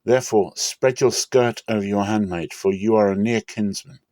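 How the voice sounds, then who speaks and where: A man's voice: on the low side, steady tone, moderate pace, warm tone, dry recording, natural voice. A humble young widow from a scripture drama, voiced by a man, speaks with grateful deference. The manner is earnest and submissive, captured in a dry, close recording.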